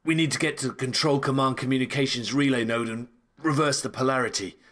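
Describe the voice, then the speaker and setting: A man's voice: medium pitch, fast, a bit bright, close to an everyday voice. A man playing a serious, weary sci-fi agent who gives orders in an audio drama. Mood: pained, urgent. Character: stern, weary male sci-fi agent, battle-worn.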